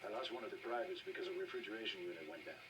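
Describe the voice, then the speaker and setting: A man's voice: deep voice, rapid-fire, close to an everyday voice. A man playing a harried, weary military medic in a video game, earnest in delivery. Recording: noisy, slightly distant game audio.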